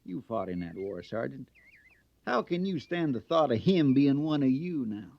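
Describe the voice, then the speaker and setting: An actor playing a bigoted, needling ex-convict army recruit in an old radio western, with a folksy, ungrammatical drawl. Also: male voice, medium pitch, very animated, normal speed, gravelly, no echo. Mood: bitter, needling. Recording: muffled vintage radio recording.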